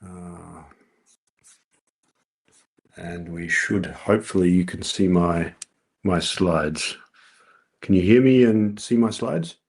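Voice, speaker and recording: An Australian man gives a relaxed, explanatory academic talk over a video call, with frequent ums. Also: low-pitched, slightly rough. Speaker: presenter.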